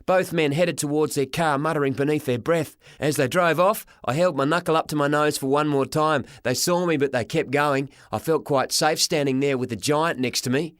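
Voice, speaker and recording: A man narrating a children's story in the first person as an expressive audiobook reader. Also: on the high side, moderate pace, hardly any pauses, no echo, studio quality.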